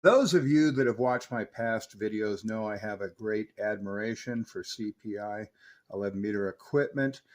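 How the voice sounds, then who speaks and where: Low-voiced man giving a technical demonstration. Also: moderate pace, a little mellow. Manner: methodical, explanatory, technical. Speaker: radio restorer demonstrating equipment. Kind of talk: hands-on technical demonstration, unscripted commentary.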